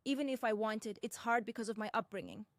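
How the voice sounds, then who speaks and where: A young woman tells her own story in a fairly high voice. She speaks slowly and deliberately and drops in candid side remarks. The recording sounds dry and close. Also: no room sound. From Russia. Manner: measured, deliberate, with frank asides. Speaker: personal commentator. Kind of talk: first-person reflective narration.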